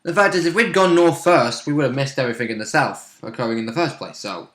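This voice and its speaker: A man with a middle-pitched voice in a roomy, echoing recording. He is a tabletop player chatting casually, tossing in wry, sweary jokes. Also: quick pace, fairly bright tone. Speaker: player in a tabletop RPG, co-commentator. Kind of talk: casual chat, joking interjections.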